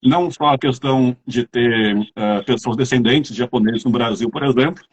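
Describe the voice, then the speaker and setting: A man's voice: mid-range voice, moderate pace, slightly rough. A man with a Brazilian accent, chatting casually as an interviewer and telling rambling anecdotes. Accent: Brazilian Portuguese.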